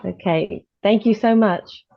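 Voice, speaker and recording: A woman's voice: mid-range voice, measured pace. A woman chatting casually and appreciatively on a video call. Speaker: meeting participant, parishioner. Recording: dull video call audio.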